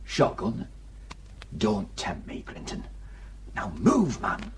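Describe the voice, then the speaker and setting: An actor playing a grumbling, sarcastic village police sergeant with a brusque manner in a radio drama. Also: male voice, fairly low pitch, wildly swooping pitch, takes it slowly, slight background noise, exaggerated voice.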